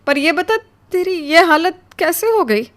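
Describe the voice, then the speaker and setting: A woman's voice: extremely high pitch, expressive, measured pace, a bit bright, pitched above the performer's own voice, exaggerated voice. A woman voicing a worried, loving mother in a melodramatic cartoon story, anxious and fussing over a grown child. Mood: alarmed, tender.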